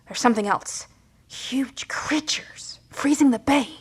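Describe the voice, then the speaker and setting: An actress playing a wary, resolute leader of fugitives in an audio drama, breathy, hushed and terse. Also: female voice, sits high, measured pace, whispered, heavily acted. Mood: alarmed, hushed.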